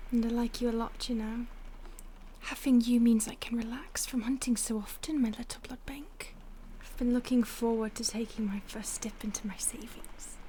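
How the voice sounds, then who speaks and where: A woman voicing a possessive female vampire stalker in an audio roleplay, whispering breathily with a slow, taunting, condescending manner. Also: on the high side, darkish tone, a little hiss or hum.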